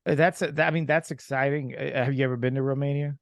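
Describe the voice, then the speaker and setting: A man from Ukraine hosting an interview podcast, asking questions in a halting way with many restarts. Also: mid-range voice, fairly even intonation, gravelly, no echo, studio quality. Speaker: podcast host. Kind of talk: casual interview.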